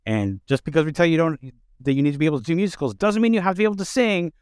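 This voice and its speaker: A man hosting a casual interview, talking in halting, measured phrases. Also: fairly high pitch, lively intonation, a little mellow, smooth, no echo, studio quality. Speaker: interview host.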